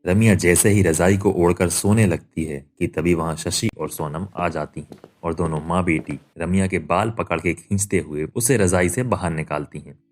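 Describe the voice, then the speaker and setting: Deep-voiced male story narrator. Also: normal speed, few pauses. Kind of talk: scripted cartoon story narration.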